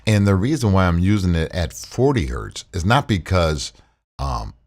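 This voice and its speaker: A man with a deep baritone voice giving a relaxed, rambling gear walkthrough into a studio condenser mic in a home studio. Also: moderate pace. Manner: relaxed, repetitive, uses fillers. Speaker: tech reviewer. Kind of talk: casual tutorial with screen share.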